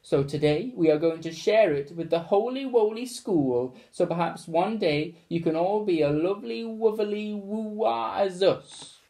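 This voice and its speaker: A man voicing a doting, soppy schoolboy who gushes in baby talk and drawn-out rhyming words. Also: high-pitched, dark tone, far from the mic, voice pushed up. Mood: gushing.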